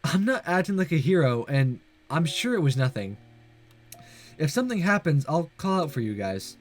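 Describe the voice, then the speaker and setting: A man's voice: a little high, sing-song, moderate pace, faint music behind. A man voicing an easygoing teenage boy in a visual novel, with a mild, reassuring manner.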